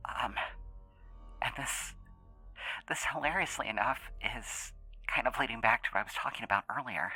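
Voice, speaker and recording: An actor playing an anxious, earnest young man in an audio drama interview, speaking haltingly and repeating words. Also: mid-range voice, bright, forceful tone, slightly rough, faint music behind, low-quality recording. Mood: worried, pleading. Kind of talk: naturalistic audio drama acting.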